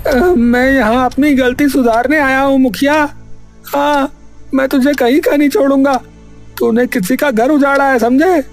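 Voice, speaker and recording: A wronged cartoon servant voiced by a woman, high and very creaky, with a tearful, earnest manner. The words come slowly over a music bed. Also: steady tone. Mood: defiant. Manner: pleading, earnest. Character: wronged, remorseful male servant turned helper. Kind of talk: cartoon story dialogue.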